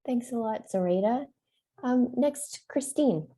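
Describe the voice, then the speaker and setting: Panel moderator, woman, fairly high and very dark-toned voice, friendly, brisk, on a video call. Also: expressive.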